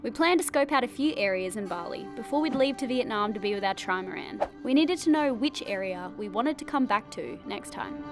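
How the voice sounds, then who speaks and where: Aussie woman doing travel vlog voiceover, a bit high, music behind. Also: expressive, a little fast, hardly any pauses. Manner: smooth, upbeat travel narration. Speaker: vlogger. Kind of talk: scripted voice-over narration. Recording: music underneath.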